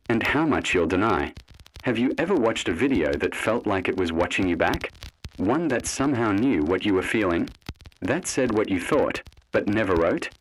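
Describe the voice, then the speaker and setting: A man's voice: lowish voice, slight background noise, rough recording. A man narrating a scripted horror piece in an ominous manner, speaking directly to the listener.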